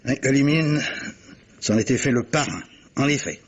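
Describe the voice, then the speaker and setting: Suave, animated gentleman sleuth. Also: mid-range voice, moderate pace, slightly rough. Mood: animated, teasing. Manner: courtly, theatrical, savouring his words. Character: urbane, clever gentleman captain and amateur sleuth, suavely teasing. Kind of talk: 1950s French radio mystery acting. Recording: muffled vintage radio recording, faint noise.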